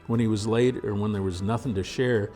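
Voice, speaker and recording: A man reading a story aloud as narrator in a measured, dramatic storytelling manner. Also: on the low side, steady tone, warm tone, soft background music. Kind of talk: scripted audiobook narration.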